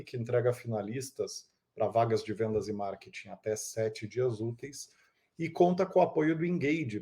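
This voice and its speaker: A man's voice: mid-range voice, mellow. A man hosting an interview podcast, reading a fluent opening and then chatting with his guest. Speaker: host.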